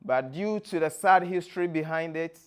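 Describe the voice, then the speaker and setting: A man from Ghana with a Ghanaian accent, giving a presentation in a deliberate, explanatory way, phrase by phrase. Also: fairly high pitch, clear, resonant tone. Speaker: guest speaker, former tour guide. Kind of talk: presentation to an audience with slides.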